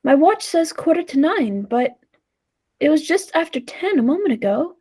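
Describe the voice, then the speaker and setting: A very high-voiced young lady adventurer acted by a woman, anxious yet brave. She speaks and narrates in dramatic, literary 1940s radio drama style, as companion to an eccentric time traveller. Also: sing-song, moderate pace, darkish tone. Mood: uneasy.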